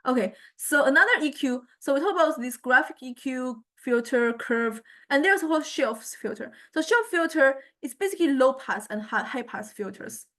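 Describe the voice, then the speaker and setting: A woman lecturer with a fairly high voice walks through a software demonstration. She talks informally and thinks aloud, often stopping and correcting herself as she explains each step. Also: lively intonation, moderate pace, few pauses. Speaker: music technology lecturer.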